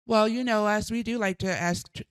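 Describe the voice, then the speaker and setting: A woman's voice: mid-range voice, brisk, clean tone, no echo, rough recording. A middle-aged woman chatting with her mother, thoughtful and rambling, a little self-deprecating. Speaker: daughter and co-host. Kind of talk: casual chat.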